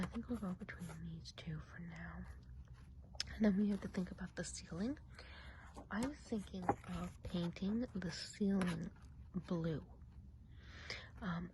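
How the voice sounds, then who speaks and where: A chatty, kindly witch of an enchanted forest, played by a woman whispers in an ASMR roleplay. The voice is soft, breathy and slightly low, drifting along unhurriedly as it muses and gossips. A faint noise sits in the background. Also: lightly acted, poor audio. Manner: unhurried, gossipy, hesitant musing. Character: chatty, friendly witch of the enchanted woods, event planner.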